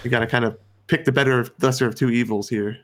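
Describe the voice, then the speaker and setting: A man's voice: mid-range voice, fairly even intonation, quick pace. American man explaining on a podcast. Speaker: podcast co-host. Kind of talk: opinionated podcast discussion.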